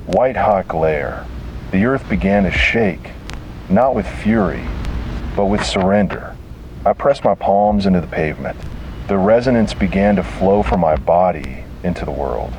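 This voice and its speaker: Casting: male horror narrator, deep register, grave and measured delivery in short deliberate phrases, over a noisy recording. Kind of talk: scripted first-person horror story narration.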